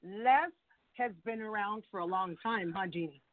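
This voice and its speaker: A woman's voice: medium pitch, moderate pace, narrow, tinny audio. A woman chatting as a guest on a call-in radio show, affectionate and chatty with callers. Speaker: guest, former wrestler. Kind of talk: casual radio chat.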